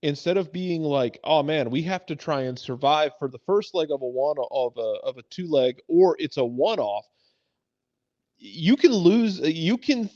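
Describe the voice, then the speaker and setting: High-voiced man chatting sports, lively pitch. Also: a little slow, dry recording. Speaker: sports talk show panelist. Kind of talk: casual sports chat. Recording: dull, band-limited sound.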